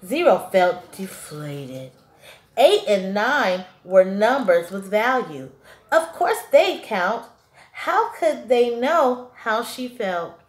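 Young woman, very animated storybook reader. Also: mid-range voice, slow, reverberant. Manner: animated, emphatic, addressing young children. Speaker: teacher reading a picture book aloud. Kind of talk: expressive children's storybook read-aloud. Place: small classroom-like room, indoors.

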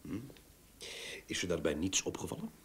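An actor playing a patient, probing detective in a Dutch radio drama, with a hesitant, questioning manner. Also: male voice, low voice, wildly swooping pitch, normal speed, a bit bright, slightly rough, exaggerated voice. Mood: pensive. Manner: hesitant, questioning, with murmured hums. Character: probing, pensive male detective, patient and methodical.

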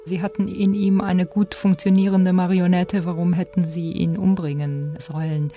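Mellow female expert explaining. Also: fairly low pitch, flat delivery, normal speed, faint music behind. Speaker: expert interviewee, ethnologist. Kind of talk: explanatory interview answers.

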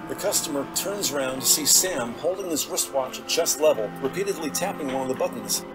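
A man's voice: mid-range voice, expressive, brisk. A man reading scripted narration for a detective audio drama. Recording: music under the voice. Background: dramatic score music.

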